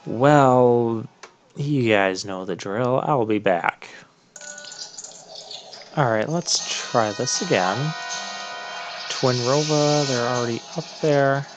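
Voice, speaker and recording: A man giving casual, halting commentary as he plays through a video game. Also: medium pitch. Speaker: gamer narrating a playthrough. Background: video game music.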